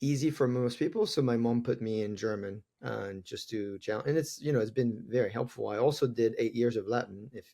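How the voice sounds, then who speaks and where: Male interview guest, 40s, French accent, dark gravelly timbre, reflective. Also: mid-range voice, fairly quick, few pauses. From France. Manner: earnest, reflective, laughs easily. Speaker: guest, tech executive. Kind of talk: conversational interview answers.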